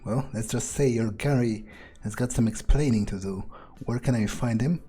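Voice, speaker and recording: A man's voice: medium pitch, moderate pace, warm tone, soft background music. A man muttering and swearing as he thinks aloud in character while playing a game. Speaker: game player.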